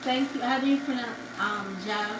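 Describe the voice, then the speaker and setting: A woman's voice: on the high side, normal speed, distant mic. A young woman with a Jamaican accent chatting on a live stream, laughing often and thanking her viewers. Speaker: livestream host. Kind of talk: casual live-stream chat. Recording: roomy sound from off-mic, background noise.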